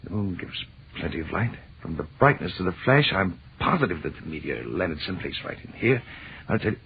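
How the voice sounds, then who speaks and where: An actor playing an impatient, excitable scientist in an old radio drama, speaking emphatically and dismissively. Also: male voice, sits low, fairly quick, gravelly, exaggerated voice. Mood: excited. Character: brusque, dismissive, excitable male scientist professor and husband. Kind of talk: 1940s radio acting. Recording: tinny, hissy old radio recording.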